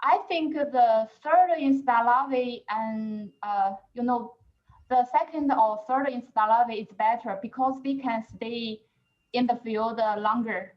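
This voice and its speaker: Hesitant, slow-talking woman researcher. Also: a little high, muffled recording. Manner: hesitant, many fillers, searching for words. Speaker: researcher presenter. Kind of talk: answering questions in a second language.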